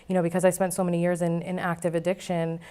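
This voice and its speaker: A woman's voice: fairly low pitch, monotone, fast talker, warm tone, clear, resonant tone. A woman speaking candidly and conversationally as an interview guest, giving personal testimony. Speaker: interview guest, former client now board member.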